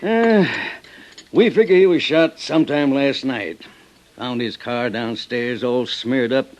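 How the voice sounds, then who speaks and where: An American actor playing a sly, folksy small-town sheriff with a needling manner in old radio drama. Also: male voice, mid-range voice, slow pace, a little mellow, close to an everyday voice. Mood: wary, needling. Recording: noisy, dull old radio recording, clicks.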